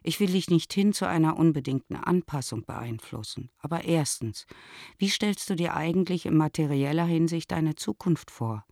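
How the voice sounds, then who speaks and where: A concerned mother of middle age in a radio play of letters. Her voice is deep for a woman, with a measured, admonishing delivery. The sound is close and dry. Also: darkish tone, no room sound. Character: concerned, admonishing mother writing to grown daughter.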